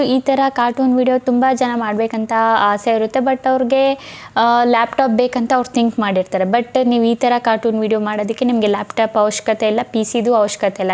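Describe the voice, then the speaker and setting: A woman's voice: fairly high pitch, darkish tone. A woman presenting a casual step-by-step tutorial, instructing and often checking in with listeners. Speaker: tutorial host.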